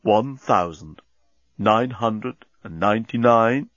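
A man voicing a soft, quiet, tender talking bomb that speaks slowly and deliberately in a storybook. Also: on the low side, no room sound, highs cut off. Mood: measured counting. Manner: slow, deliberate counting.

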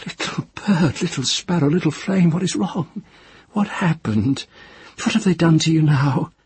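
A man putting on a tender yet fierce grown woman who soothes a terrified child. Her voice sits well above his own, soft and murmuring, with a husky grain. The sound is dry and close. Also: fairly high pitch, lively intonation, unhurried, no room sound, pitched above the performer's own voice, full character voice. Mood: anguished tender.

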